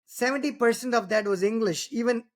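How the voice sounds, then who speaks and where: A man's voice: very high-pitched, fairly quick. A man from southern India with an Indian accent, a native Tamil speaker, hosting a podcast interview and talking in long, winding sentences full of "you know" and "right?". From Tamil Nadu, southern India. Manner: rambling, many restarts and "you know", "right?" tags. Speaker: podcast host. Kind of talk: casual interview conversation.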